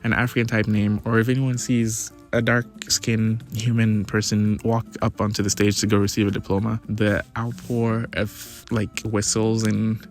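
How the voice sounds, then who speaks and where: A young man from Ghana talking casually to his viewers in a relaxed, chatty way. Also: on the low side, normal speed, few pauses, faint music behind. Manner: relaxed, chatty, with many restarts and fillers. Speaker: vlogger. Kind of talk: casual talk to camera.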